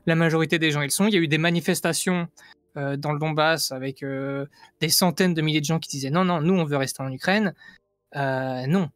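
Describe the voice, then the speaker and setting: A man's voice: fairly high pitch, fairly even intonation, fairly quick, no room sound, clean studio sound. A man from France explaining his view in a casual debate, insistent and emphatic, often repeating himself. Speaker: guest giving an opinion.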